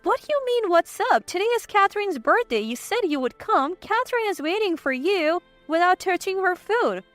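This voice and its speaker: A woman's voice: very high-pitched, very animated, moderate pace, a bit bright, dry recording, faint music behind, pitched above the performer's own voice, full character voice. A woman playing an indignant, hurt young mother in a narrated story, emphatic and reproachful. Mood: upset, reproachful.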